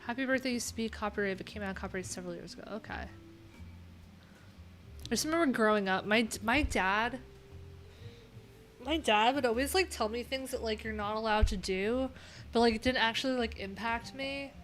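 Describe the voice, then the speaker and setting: A woman's voice: a little high, a little fast, long pauses, a bit bright. A woman in her thirties with an American accent, chatting casually as a livestream host in a rambling, wry, informal way. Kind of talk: casual livestream chat while reading docs. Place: small cluttered room indoors. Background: background music.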